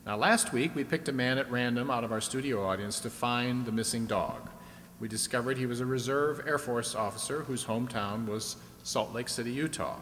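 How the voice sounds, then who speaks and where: A man's voice: medium pitch, moderate pace, fairly bright tone, lightly acted. Man acting as vintage radio quiz host, echoey hall. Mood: announcing. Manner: explanatory, prompting with questions. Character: genial, chatty male host of a vintage audience-stunt show. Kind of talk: old-time radio show acting. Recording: echoey hall with background noise.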